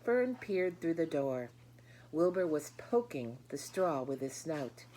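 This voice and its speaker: Woman, low voice, children's story reader who talks to listeners, faint background noise. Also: sing-song, slow, dark tone, rough recording. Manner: explains and addresses listeners directly. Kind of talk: children's book read-aloud with chatty asides.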